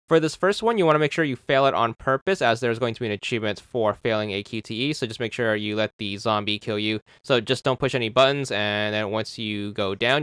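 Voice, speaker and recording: A man's voice: on the low side, fairly bright tone, clear, resonant tone, dry recording. A man narrating a game achievement walkthrough, methodically repeating step-by-step instructions into a close microphone. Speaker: achievement guide host.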